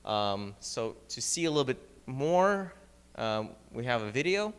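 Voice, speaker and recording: A man with a Ukrainian accent giving a live slide presentation at a meetup, talking quickly with fillers and restarts. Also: medium pitch, big pitch swings, smooth, long reverb tail. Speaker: startup founder presenting at a meetup. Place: meetup room.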